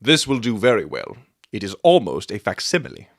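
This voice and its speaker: A man playing a self-assured gentleman detective in a dramatic audiobook, theatrical and articulate as he expounds his deductions. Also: fairly low pitch, wildly swooping pitch, fairly quick, creaky, dry recording, studio quality, heavily acted. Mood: confident, explaining.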